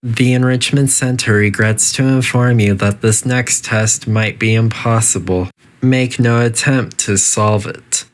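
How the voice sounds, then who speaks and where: Casting: performer to play game AI announcer, medium pitch, courteous but coldly menacing, deadpan, formal, measured delivery. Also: lightly acted. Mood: deadpan, discouraging. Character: polite, coolly menacing test-facility AI announcer. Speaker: facility announcer guiding test subjects.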